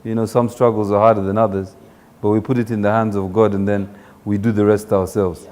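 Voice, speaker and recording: A man's voice: on the low side, flat delivery, a little fast, darkish tone. A man hosting a conversational talk show interview, informal, asking follow-up questions and dropping in encouraging interjections.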